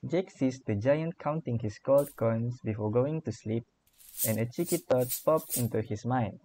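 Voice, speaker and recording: A man's voice: mid-range voice, measured pace, mellow, slight background noise, poor audio. A man narrating a scripted fairy tale for a radio drama.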